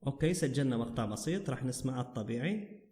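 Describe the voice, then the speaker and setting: A man's voice: medium pitch, expressive, quick pace, mellow. A man with an Iraqi Arabic accent narrating a casual app tutorial as its host. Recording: roomy, echoing voice recording.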